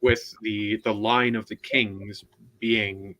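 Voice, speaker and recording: Slow, halting man explaining lore. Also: on the low side, fairly even intonation, fairly bright tone, low-quality recording. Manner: halting and explanatory, many restarts and "right?". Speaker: co-host and panelist. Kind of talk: casual online book discussion.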